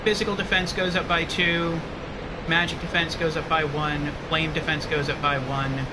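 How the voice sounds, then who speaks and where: A man's voice: a little high, moderate pace, very noisy. A man casually commenting on his gameplay from his attic room and chatting with viewers. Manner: relaxed, chatty, reads out chat messages. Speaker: streamer playing a game.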